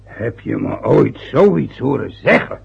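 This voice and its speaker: A man's voice: medium pitch, big pitch swings, takes it slowly, gravelly, breathy edge, heavily acted. An older man playing a stubborn, sarcastic father in a radio drama. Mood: defensive. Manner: grumbling, sarcastic. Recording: dull lo-fi old radio recording.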